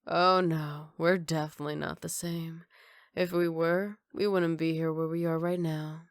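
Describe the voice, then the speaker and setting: A woman playing a flirty, cocky female villain who teases in an unhurried, smooth-talking way, in an ASMR roleplay. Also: low voice, steady tone, no room sound, studio quality. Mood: cool, confident.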